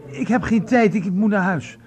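An actor playing a drunk, confused village man in a Dutch radio play, halting and stammering as he repeats himself. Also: sits high, a crowd in the background, close to an everyday voice. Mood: uneasy, groggy.

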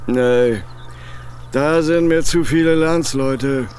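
A man's voice: medium pitch, slow, a little mellow, a little hiss or hum, lightly acted. An actor playing a grumbling, suspicious old male pensioner in a German radio play. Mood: grumpy. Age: 60s or older. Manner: grumbling, emphatic, alarmist.

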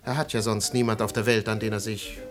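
An actor in a radio play playing a self-pitying, melancholy man who speaks haltingly, with pathos. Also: on the low side, flat delivery, soft background music, lightly acted. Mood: sorrowful. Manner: halting, sighing, pathos-laden. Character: self-pitying, melancholy son of a disgraced father. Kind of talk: German radio play acting.

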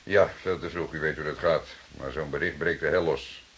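An actor playing a calm, stubborn, dryly ironic police detective in a radio drama, speaking in a measured way. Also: male voice, rumbling low, slightly rough, full character voice. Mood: dry, grave. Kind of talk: Dutch radio drama acting.

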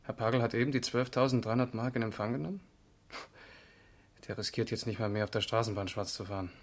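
A man's voice: lowish voice, fast talker. An actor playing a matter-of-fact, precise male police investigator in a radio crime drama. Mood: firm, controlled. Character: sober, methodical male East German police investigator. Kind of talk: 1970s German radio crime drama acting.

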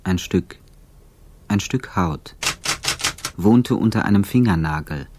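A detached evidence reciter in a radio play. The low voice moves very slowly, in clipped clinical fragments, with clear noise and typewriter clicks around it. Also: male voice, expressive. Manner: terse, staccato fragments, detached. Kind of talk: radio drama, fragmentary forensic list.

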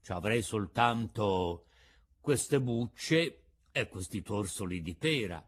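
A man voicing a kind, patient old woodcarver in a storybook reading, speaking slowly and gently with a lecturing tone. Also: fairly low pitch, animated, husky, full character voice. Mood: gentle.

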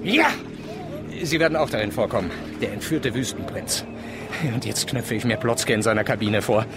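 A man's voice: medium pitch, lively intonation, moderate pace, over loud music, natural voice. A man playing a scornful, swaggering adventurer in a radio drama, speaking in a theatrical, mocking manner. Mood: scornful, gleeful. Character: scornful, swaggering male adventurer out to expose rivals.

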